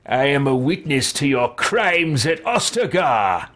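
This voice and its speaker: A man's voice: mid-range voice, very animated, measured pace, a bit bright. Proud, sardonic hero a man roleplaying. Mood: haughty, challenging. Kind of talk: roleplayed game dialogue.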